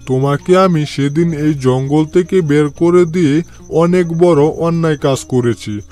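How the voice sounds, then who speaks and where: Man voicing a high-pitched arrogant cartoon tiger. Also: unhurried, mellow, clear, resonant tone, faint music behind, natural voice. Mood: humbled, pleading. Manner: domineering, threatening, scolding. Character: arrogant bullying tiger, ruler of the jungle. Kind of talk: cartoon moral story voice acting.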